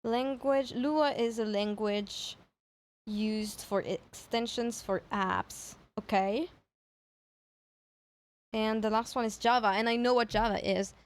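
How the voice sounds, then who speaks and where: Slow, high-voiced woman streamer. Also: steady tone. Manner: hesitant, thinking aloud, repeating herself. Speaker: streamer reacting to horror videos. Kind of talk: casual livestream chat.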